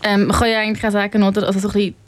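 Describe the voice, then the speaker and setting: Swiss German woman interviewer, casual questions with tag endings, flat delivery, dry sound. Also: medium pitch, racing, no echo.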